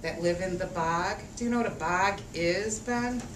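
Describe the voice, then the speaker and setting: A woman teacher reading a picture book aloud to young children, expressive and rhythmic, drawing out phrases and asking them questions. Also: fairly low pitch, normal speed. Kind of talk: interactive storybook read-aloud. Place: echoey room with a group of children. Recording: echoey room, distant mic, faint noise.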